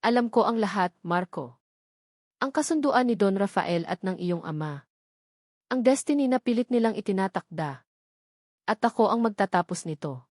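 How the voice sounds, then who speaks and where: A woman's voice: mid-range voice, animated, normal speed, pauses often, a little mellow, no room sound, clean studio sound, natural voice. A woman voicing a sneering, scheming villainess with a mocking manner in a romance story. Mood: menacing.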